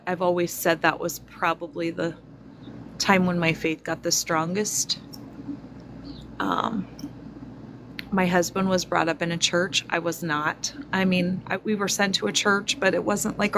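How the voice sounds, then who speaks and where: A woman in her forties speaking slowly and reflectively to her viewers, pausing often with fillers. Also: lowish voice, level tone, background noise. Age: 40s. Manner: slow, reflective, many fillers. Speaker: spiritual vlogger. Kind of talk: personal testimony to viewers.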